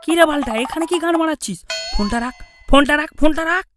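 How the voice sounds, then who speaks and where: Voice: sits high, fast, music in the background, close to an everyday voice. A performer voicing a brash, scheming young male prankster in a comedy cartoon, bossy, teasing and slangy.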